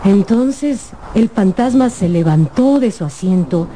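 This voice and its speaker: A woman narrator telling a dramatic scripted story for radio in an expressive, measured way. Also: mid-range voice, a little hiss or hum. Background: music.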